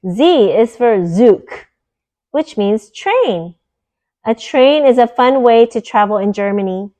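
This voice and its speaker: High-pitched woman reading a picture book aloud. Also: lively intonation, mellow. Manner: deliberate, teacherly, explaining words. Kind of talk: read-aloud picture book narration for children.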